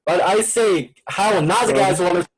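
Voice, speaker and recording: A man's voice: high voice, normal speed, breathy edge, rough recording. A man with a Chinese accent, a native Chinese speaker teaching an online class and coaxing students with repetitive prompts in broken English.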